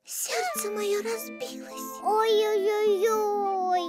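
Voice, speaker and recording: An actress playing a bubbly, headstrong little girl zebra in a children's cartoon, with an expressive, exclamatory childlike delivery. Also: extremely high pitch, extremely slow, heavily acted. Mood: wounded. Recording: loud children's music behind.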